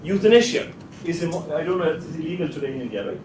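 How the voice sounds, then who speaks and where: A man teaching a class in a deliberate, emphatic way, putting probing questions to his students. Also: a little high, lively intonation. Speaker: teacher leading a workshop class. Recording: echoey, noisy room, distant mic.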